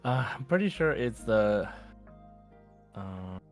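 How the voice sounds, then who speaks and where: Lowish-voiced guy chatting casually. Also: big pitch swings, takes it slowly, faint music behind. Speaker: podcast co-host. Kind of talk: casual chat.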